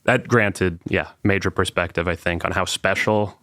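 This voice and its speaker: A man's voice: low-pitched, fairly even intonation, moderate pace, bright, forceful tone, no echo, clean studio sound. A man from North Carolina, a musician guest in an interview, talking in an easygoing way with many fillers. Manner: easygoing, affirming, filler-heavy. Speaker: band member guest. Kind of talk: casual interview.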